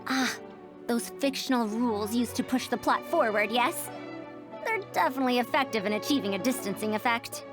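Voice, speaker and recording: A woman's voice: a little high, fairly quick, lightly acted. A woman playing a haughty, old-fashioned scholar in an anime video game, speaking precisely and pedantically. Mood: critical, puzzled. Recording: game audio over clear background music.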